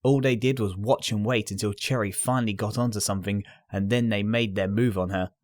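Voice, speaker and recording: A man reading a scripted story aloud as narrator, in an expressive, earnest and dramatic storytelling style. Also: on the low side, fairly quick, no room sound, clean studio sound.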